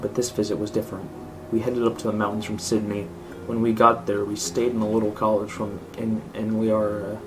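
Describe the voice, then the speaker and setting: Low-pitched, monotone man reading horror stories. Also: brisk, few pauses, over music. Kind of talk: scripted horror story narration. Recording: clearly noisy home recording.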